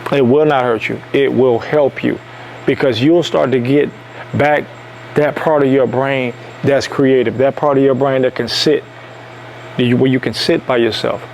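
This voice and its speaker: Self-help host, a man from Detroit, mid-pitched, preachy and repetitive, faint room noise. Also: steady tone, a little slow, gravelly, darkish tone, poor audio. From Detroit, USA. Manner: emphatic, repeats lines for stress. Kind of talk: preachy motivational monologue.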